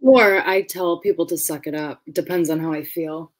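A woman's voice: fairly low pitch, moderate pace. A woman in her thirties hosting a livestream channel from home, teasing and wry in casual chat over a webcam. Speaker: channel host. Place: room at home. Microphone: webcam on a video call.

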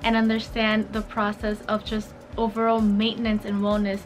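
A woman's voice: mid-range voice, moderate pace. A woman in her twenties with a Dominican accent, chatting animatedly through a skincare review in her bedroom. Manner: animated, talks with her hands, upbeat. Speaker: fashion and skincare vlogger. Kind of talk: casual chatty product review. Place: bright bedroom.

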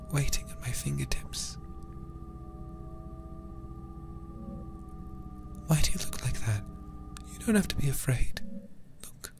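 A man voicing a twitchy, eerie child devoted to grandpa, in a hushed, halting horror-game narration. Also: a little high, creaky, voice pushed up. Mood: insistent, eerie.

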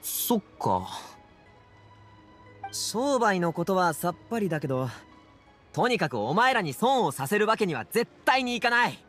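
An actor playing an earnest, brash young man in an anime game, speaking in a casual, rough-edged youthful way. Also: high voice, big pitch swings, a little fast, few pauses, faint music behind. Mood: flustered, determined. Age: young adult.

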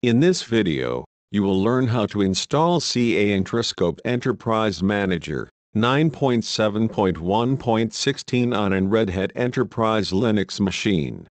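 A man reading scripted step-by-step software instructions in a measured way as a tutorial narrator. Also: fairly low pitch, lively intonation, no room sound.

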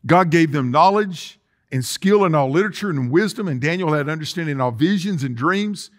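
High-voiced man preaching a sermon. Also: animated, fairly quick. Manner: preaching with call-and-response, reading scripture aloud. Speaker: pastor. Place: church sanctuary with empty chairs.

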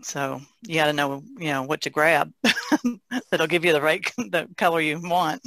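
A woman's voice: sits low, measured pace, pushed and bright, no room sound. An older woman, a painter, casually explaining her craft in conversation. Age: older, about 60s. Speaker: painter, podcast guest.

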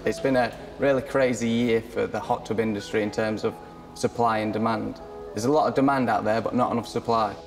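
A man's voice: fairly low pitch, steady tone, fairly quick. An English man, a hot tub company manager, explaining things matter-of-factly in a business interview. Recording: music under the voice.

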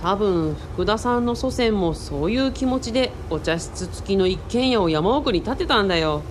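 A woman playing a boyish, excitable young man in a radio drama, speaking casually and exclaiming often. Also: medium pitch, normal speed, slight background noise, lightly acted. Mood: friendly, sheepish. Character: boyish, excitable young male sidekick, Kaoru.

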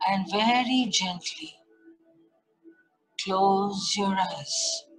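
A woman leading a guided meditation, giving gentle, unhurried instructions in short repeated phrases with long pauses. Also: medium pitch, low-quality recording. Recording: music behind the voice. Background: meditation music.

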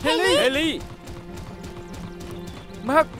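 A woman's voice: high-pitched, lively intonation, extremely slow, full character voice. A woman voicing a brave, kind and decisive young rescue-team leader in a dubbed children's cartoon, encouraging and commanding. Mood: urgent, reassuring. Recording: loud cartoon music underneath.

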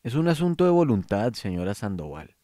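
An actor playing an earnest, consoling Colombian priest in a radio drama, speaking in a measured, reflective way. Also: male voice, on the low side, very animated, mellow, no echo, clean studio sound.

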